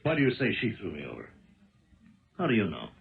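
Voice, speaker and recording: An actor playing a contemptuous, threatening killer in a 1970s radio drama, curt and commanding. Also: male voice, on the low side, wildly swooping pitch, fast, creaky, heavily acted. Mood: suspicious, demanding. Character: menacing, contemptuous killer cornering a woman. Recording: muffled, phone-like old radio recording.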